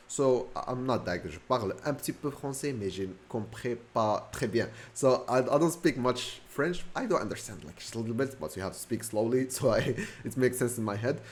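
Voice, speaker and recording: A young man from Morocco, whose first language is Moroccan Arabic, speaks English with a Moroccan accent in a casual, rambling vlog, correcting himself often and speeding up in bursts. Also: mid-range voice. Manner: rambling, self-correcting, fast bursts with fillers. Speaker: vlogger. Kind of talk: casual talking-to-camera story.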